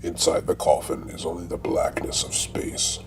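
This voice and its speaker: A man reading scripted comic narration for an audio drama in a deadpan way. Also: rumbling low, moderate pace, gravelly, a little hiss or hum.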